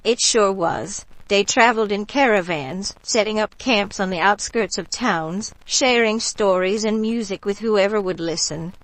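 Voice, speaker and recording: A woman playing a warm local bayou woman in a radio drama, telling stories in an unhurried, folksy, gently mystical way. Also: medium pitch, dry recording, close to an everyday voice, poor audio. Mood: fond, reminiscing.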